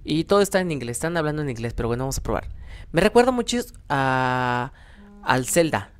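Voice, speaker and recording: A man's voice: medium pitch, animated, a little fast, clear, resonant tone. A young adult man with a Mexican accent giving casual, self-deprecating gameplay commentary, rambling and thinking aloud. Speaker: gaming YouTuber, host. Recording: background music under voice. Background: music.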